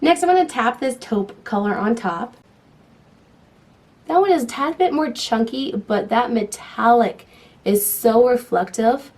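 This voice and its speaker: A woman's voice: fairly high pitch, expressive, unhurried, a little mellow. Young woman with a cold, chatty. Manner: chatty, enthusiastic, talks while applying makeup. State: voice affected by a cold. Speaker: beauty vlogger. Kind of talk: casual makeup tutorial talk.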